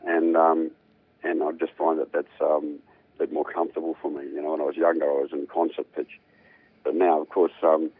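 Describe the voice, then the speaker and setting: Very deep-voiced older man. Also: little pitch movement, fairly quick, husky, phone-quality sound. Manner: rambling, hums riffs aloud. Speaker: guest musician. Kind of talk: relaxed radio interview.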